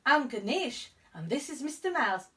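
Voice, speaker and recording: A woman's voice: high-pitched, sing-song, normal speed, a bit bright, far from the mic, voice pushed up. A woman voicing a proud, earnest young elephant-headed boy god in a children's storybook, with a childlike manner.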